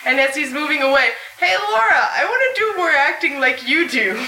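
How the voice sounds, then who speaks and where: A woman's voice: high-pitched, big pitch swings, fairly quick, pushed and bright. A woman chatting informally on a live convention panel as a voice actor, often interrupting herself. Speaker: audio drama voice actor on a panel. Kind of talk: casual, animated chat. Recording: echoey, distant mic with clear music behind.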